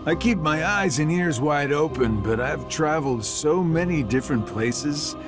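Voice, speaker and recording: Casting: a smug, slippery middle-aged game villain, highish voice that swings widely, sarcastic drawl. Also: male voice, animated, unhurried, over music. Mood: sly, evasive. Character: sly, sardonic middle-aged male villain, cocky and evasive. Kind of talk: cinematic video game voice acting.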